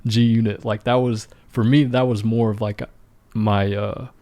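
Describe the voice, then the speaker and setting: A man's voice: fairly low pitch, level tone, a little slow, mellow, dry recording. A man in his thirties hosting a casual interview, asking hesitant questions with restarts. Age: 30s. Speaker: podcast host.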